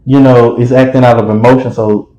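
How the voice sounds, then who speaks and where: A man talks in a dramatic, expressive way as an interview guest. He rambles, restarts and fills with um and you know. His voice sits at a middling pitch and rings in a roomy echo. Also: fairly quick. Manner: rambling with many fillers and restarts. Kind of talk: casual interview chat.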